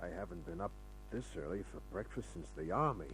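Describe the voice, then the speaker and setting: Low-voiced wisecracking radio detective. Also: male voice, lively intonation, fairly quick, over music, low-quality recording. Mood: teasing, casual. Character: wisecracking male private detective, flirtatious, tough, easygoing. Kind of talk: old-time radio drama acting with first-person narration. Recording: old radio recording with hum.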